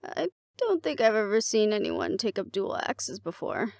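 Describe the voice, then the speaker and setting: A woman playing a battle-seasoned, fond and teasing mate in a roleplay audio drama, talking casually and intimately with a gravelly creak and hesitant pauses. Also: mid-range voice, huge pitch swings, a little mellow, no room sound. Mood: playful, fond.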